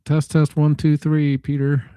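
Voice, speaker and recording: A man's voice: a little high, completely flat delivery, unhurried, warm tone, smooth, dry recording. Man thinking aloud, dry close sound. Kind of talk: casual tech discussion.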